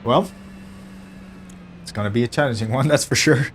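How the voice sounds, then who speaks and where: A hoarse man who is losing his voice narrates his own sim racing footage in an analytic, self-deprecating way. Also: medium pitch, moderate pace, slight background noise. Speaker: sim racing video host. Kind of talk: commentary over gameplay footage. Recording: voice-over with music underneath. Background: background music.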